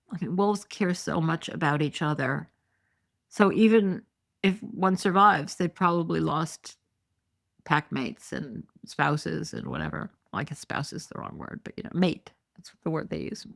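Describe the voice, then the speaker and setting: Middle-aged woman podcast host, low gravelly voice, kinda hoarse, losing her voice, lots of ums and snarky asides. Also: fairly bright tone. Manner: sarcastic, indignant asides with many fillers. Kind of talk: solo commentary reading news articles.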